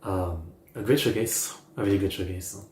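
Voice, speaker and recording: Low-voiced man in a roomy space. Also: moderate pace, darkish tone, slight background noise. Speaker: streamer reacting to music videos. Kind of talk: casual live reaction commentary. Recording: roomy echo, music playing underneath. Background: music from the videos.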